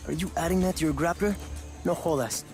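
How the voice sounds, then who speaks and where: A man's voice: fairly high pitch, brisk, darkish tone, faint music behind, close to an everyday voice. A man playing an eager, loyal rebel sergeant in a video game, with a Latin American Spanish accent. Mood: urgent. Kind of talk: video game cutscene acting.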